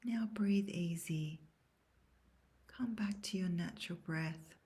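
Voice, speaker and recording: Slow, hushed woman guiding meditation. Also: on the low side. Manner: soft, breathy, hushed, near-whispered delivery; calm, measured, soothing instruction. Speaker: guided meditation instructor. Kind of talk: guided yoga nidra meditation, scripted.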